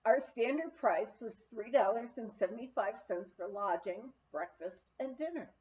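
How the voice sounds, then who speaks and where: A woman reading an anecdotal memoir aloud as an expressive storyteller. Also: on the high side, moderate pace, muffled recording, slight background noise. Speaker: audiobook reader.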